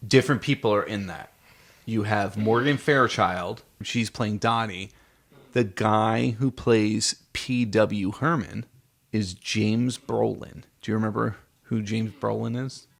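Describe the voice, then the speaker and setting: A man's voice: lowish voice, fairly even intonation, slow, creaky. A middle-aged man co-hosting a casual movie chat with a child, asking prompting questions in an encouraging way. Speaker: podcast co-host, parent talking with child.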